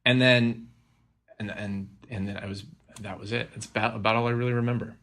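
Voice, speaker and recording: A man hosting a podcast, telling stories in a casual, rambling, halting way with frequent self-corrections. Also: lowish voice, fairly even intonation, a bit bright. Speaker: podcast host.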